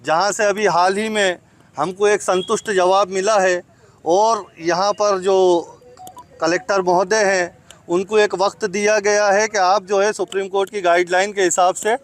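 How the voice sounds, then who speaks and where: A man's voice: high-pitched, steady tone, measured pace. A man from India speaking as a community representative, explaining matters formally and in order for a news camera.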